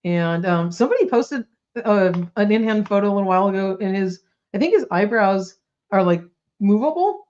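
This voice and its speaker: A woman's voice: mid-range voice, lively intonation, moderate pace, darkish tone, muffled recording. A woman co-hosting a toy news podcast in casual chat, bubbly and full of fillers, coming down with a slight cold. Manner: chatty, bubbly, lots of likes and ums.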